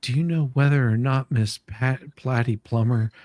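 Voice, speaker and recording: A man playing a questioning attorney in an amateur dramatic reading of a deposition, halting and repeating his words. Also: mid-range voice, darkish tone, no echo, clean studio sound, close to an everyday voice. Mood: questioning, patient.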